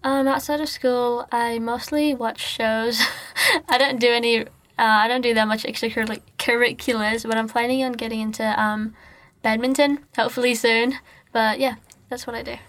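A woman's voice: on the high side, fairly even intonation, moderate pace, a bit bright. A woman, chatting informally in an interview and peppering her talk with "like". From New Zealand, born in Nepal. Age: late teens. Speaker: podcast guest, student. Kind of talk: casual interview chat.

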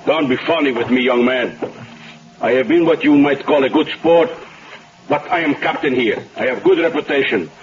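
A man's voice: medium pitch, moderate pace, close to an everyday voice. Guy doing proud boat captain, formal english, earnest, dull old radio play. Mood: indignant. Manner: formal, earnest, non-native English grammar. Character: proud, law-abiding foreign barge captain, wronged husband. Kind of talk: 1970s radio drama acting. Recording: muffled, faintly noisy vintage radio recording.

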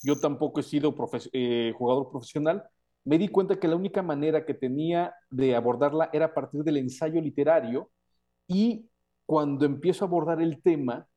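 A Mexican man talks reflectively about his writing. His tone is extremely mellow and somewhat high-pitched. He picks his way through thoughts with pauses. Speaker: author. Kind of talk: reflective spoken commentary on own work.

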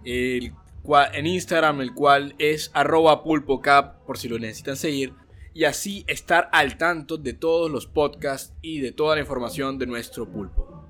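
A man's voice: a little high, moderate pace, fairly bright tone. A man co-hosting a student school podcast, reading news items with casual chatty asides.

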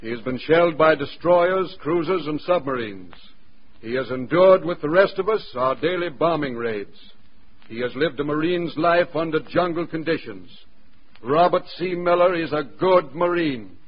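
An actor playing a solemn general delivering a formal, firm tribute in 1940s radio style. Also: male voice, a little high, expressive, normal speed, slight background noise, natural voice. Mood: solemn, admiring. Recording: dull old 1940s radio recording.

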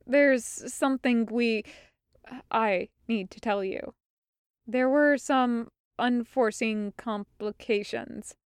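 A woman's voice: a little high, slow pace, smooth, no echo, clean studio sound. A woman voicing a flustered male adventurer in a fantasy audiobook, hesitant and apologetic. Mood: remorseful, hesitant.

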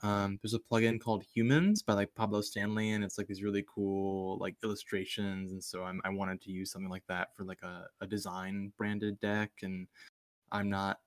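A man with a very deep voice chats on a podcast, pausing often with fillers. The sound is dry and close. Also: little pitch movement, no room sound. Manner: hesitant, many "like" and "um" fillers. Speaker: podcast co-host. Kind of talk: casual chat.

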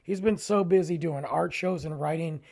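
A man hosting his own podcast in a casual, rambling way, tired and losing his voice, often trailing low at the ends of phrases. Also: normal speed, creaky, a little mellow, husky. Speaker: podcast host. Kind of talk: casual solo podcast intro.

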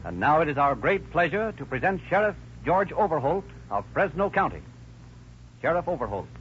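A man's voice: a little high, very animated, normal speed. A man announcing in formal, emphatic 1930s radio style. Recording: muffled old radio broadcast with music.

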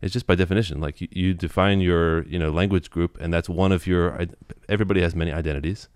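A man guest in an interview, explaining at length in a relaxed way with many "you know"s and restarts. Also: low-pitched, clear, resonant tone, no room sound, clean studio sound. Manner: quick, thoughtful, full of "you know" and restarts.

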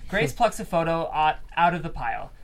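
A woman's voice: low-pitched, big pitch swings, a little fast, gravelly. A woman reading stage directions as scripted narration at a table read.

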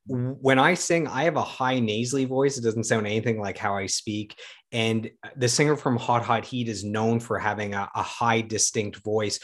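A man hosting an interview, curious and asking long, detailed questions. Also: fairly low pitch. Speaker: podcast host.

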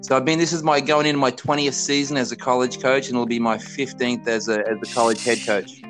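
Aussie basketball coach, 50s, rambles and restarts a lot, chatty interview, bit of music under it. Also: male voice, medium pitch, flat delivery, brisk, poor audio. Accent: Australian. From Australia. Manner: rambling, self-correcting storyteller. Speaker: college basketball head coach. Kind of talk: casual podcast interview.

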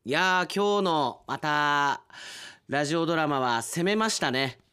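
A man's voice: fairly high pitch, animated, unhurried, sharp tone, smooth. A man hosting a radio show in a chatty, polite style with playful asides, as a trained announcer speaking conversationally.

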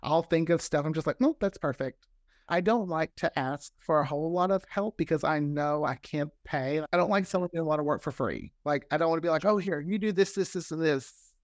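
Higher-pitched American man, chatty, dry recording. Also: fairly quick, dry recording. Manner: chatty, anecdotal, acts out quoted dialogue. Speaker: interview guest, fiction podcast creator. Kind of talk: casual interview chat.

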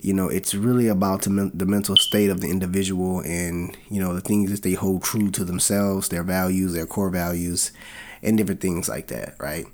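A man co-hosting a podcast with his wife, a little raspy from a cold, talking in an earnest, loose way with many fillers. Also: low-pitched, steady tone, moderate pace, slight background noise. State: has a cold, slightly raspy. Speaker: podcast co-host. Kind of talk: casual podcast chat.

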